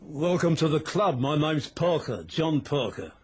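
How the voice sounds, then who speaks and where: Easygoing steeplejack. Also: male voice, fairly high pitch, big pitch swings, a little slow, a little hiss or hum. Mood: friendly. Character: easygoing, out-of-work male steeplejack. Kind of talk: radio drama acting.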